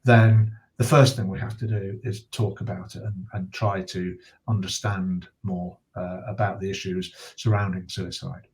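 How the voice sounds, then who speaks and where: A middle-aged man speaking as an interview guest, giving serious personal testimony in a measured, halting way with deliberate pauses. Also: deep voice, little pitch movement. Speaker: interview guest, bereaved father and campaigner.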